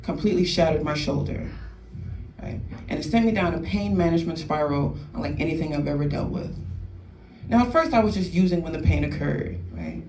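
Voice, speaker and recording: A woman's voice: sits low, big pitch swings, fairly quick. A young woman in her twenties from New Orleans doing a stand-up comedy set, tagging her jokes with "right?" and laughing at her own punchlines. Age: 20s. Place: comedy club stage. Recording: echoey room, distant mic, noisy.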